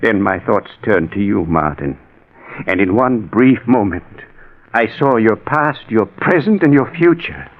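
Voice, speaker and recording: A man's voice: fairly low pitch, expressive, measured pace, a little hiss or hum. An actor playing an earnest dead man's spirit, narrating solemnly and theatrically in 1940s radio style. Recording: thin, phone-like old radio recording.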